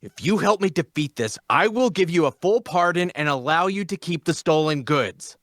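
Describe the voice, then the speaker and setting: Man acting a commanding, high-pitched fantasy adventurer leader. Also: lively intonation, moderate pace, a bit bright, no room sound, studio quality. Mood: urgent, commanding. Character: commanding male adventurer leader barking orders in battle. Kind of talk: fantasy audio drama table read.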